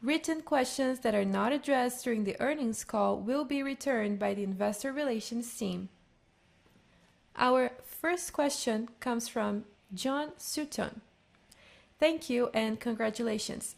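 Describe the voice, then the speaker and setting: A woman's voice: a little high, vocal fry. A woman moderating a conference call, reading scripted announcements and questions.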